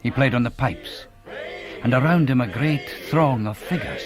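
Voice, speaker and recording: An actor playing a troubled, earnest young Scottish minister, narrating a radio drama in formal, literary phrases. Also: male voice, mid-range voice, normal speed, over music, natural voice. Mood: troubled, grave.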